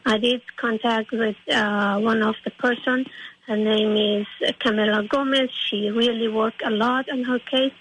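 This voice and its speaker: A young woman with an Afghan accent, answering interview questions in a halting, earnest way. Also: fairly high pitch, fairly bright tone, slight background noise, poor audio. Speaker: interview guest.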